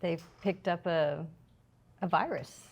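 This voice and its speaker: A woman's voice: fairly low pitch, slow pace. A woman chatting casually as a podcast co-host, giving brief, agreeable replies. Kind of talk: casual chat.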